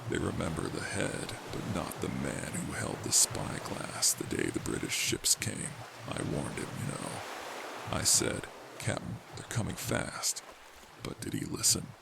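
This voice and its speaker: Brief: sarcastic, aggrieved ghost of a pirate's apprentice, played by a man, low and very gravelly, lively swoops, audio drama. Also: unhurried, noisy background, full character voice. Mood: mournful.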